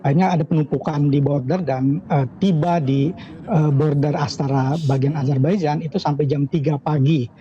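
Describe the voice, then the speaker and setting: A middle-aged man answering questions over a remote call, halting and pausing often with filler sounds. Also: on the high side, fairly even intonation, warm tone. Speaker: evacuee interviewed by phone. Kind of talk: hesitant phone interview answers. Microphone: phone or video call. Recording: dull lo-fi remote line with crowd behind.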